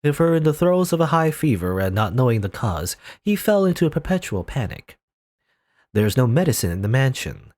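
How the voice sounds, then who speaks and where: Man narrating a visual novel with lively pitch. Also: brisk. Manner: dramatic reading, rambling asides with fillers. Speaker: let's player. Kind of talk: reading a visual novel aloud with commentary.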